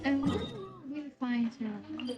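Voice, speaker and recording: A woman's voice: high voice, big pitch swings, extremely slow, lo-fi sound, music in the background. A woman chatting casually as she gives commentary on her gameplay. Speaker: game streamer. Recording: noticeable background noise.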